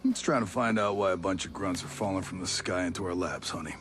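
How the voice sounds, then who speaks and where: An actor playing a wary, sardonic older local leader in a video game cutscene. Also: male voice, low voice, fast talker, over music, lightly acted. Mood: suspicious, sardonic.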